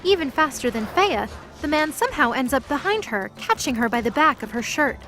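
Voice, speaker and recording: A woman's voice: high voice, big pitch swings, brisk, fairly bright tone, soft background music. A woman reading scripted story narration as the narrator of an audio drama.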